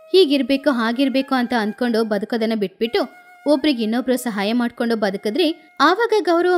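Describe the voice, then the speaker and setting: High-pitched woman narrating a moral story. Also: a little mellow.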